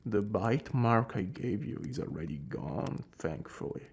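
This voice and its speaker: Man as aloof male vampire lord, brooding, secretly caring, soft breathy ASMR delivery, dark mellow tone, gravelly edges. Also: on the low side, expressive, a little slow, heavily acted. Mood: gentle concern. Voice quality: soft, breathy, often dropping to a whisper. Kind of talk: ASMR roleplay, intimate dramatic monologue.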